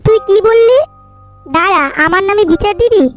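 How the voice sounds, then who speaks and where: Woman voicing a very shrill, bossy cartoon wife. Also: moderate pace. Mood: angry, scolding. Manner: bossy, sharp-tongued, scolding. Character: cruel, scolding jackal housewife and mother. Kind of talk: Bengali cartoon voice acting. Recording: music behind the voice.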